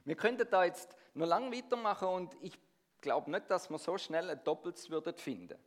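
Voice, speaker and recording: A man's voice: high-pitched, lively intonation, moderate pace. A middle-aged man from near Stuttgart with a Swabian accent tinged by Swiss dialect, preaching a sermon and drawing the congregation in with questions. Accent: Swabian-tinged Swiss German. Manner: engaging, asks the congregation questions.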